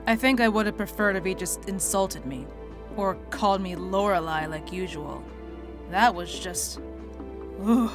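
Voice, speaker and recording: A woman's voice: medium pitch, measured pace, close to an everyday voice. An actress playing a blunt, guarded female general in an audio drama, with a wry, terse manner. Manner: wry, terse, sharp-tongued. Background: music.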